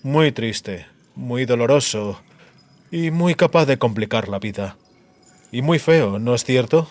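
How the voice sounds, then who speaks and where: A man's voice: mid-range voice, expressive, dry recording. Man playing a menacing, cruel sorcerer. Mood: bitter, mocking. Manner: menacing, theatrical, measured monologue. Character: cruel, disfigured male sorcerer, coldly gloating.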